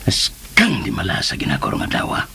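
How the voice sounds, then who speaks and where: A man's voice: brisk, music in the background, close to an everyday voice. An actor playing a hot-tempered, scheming man in a radio drama, muttering to himself and cursing. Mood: grumbling. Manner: muttering to himself, bursting into curses.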